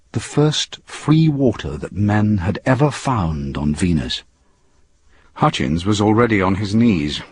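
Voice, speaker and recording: A man with a husky voice narrating a scripted story in a dramatic reading style. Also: lowish voice, no room sound. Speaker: audiobook narrator.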